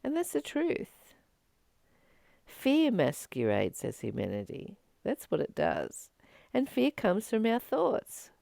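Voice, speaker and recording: Expressive, unhurried woman giving a spiritual talk. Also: mid-range voice, vocal fry, dry recording, clean studio sound. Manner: earnest, expressive, emphatic repetition. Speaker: spiritual teacher and channel host. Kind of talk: informal spiritual talk, reflecting on a reading.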